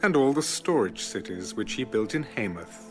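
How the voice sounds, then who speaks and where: A man narrating scripture from a script in a measured, formal way. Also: fairly low pitch, expressive, fairly bright tone, faint music behind. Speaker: narrator of an audio Bible.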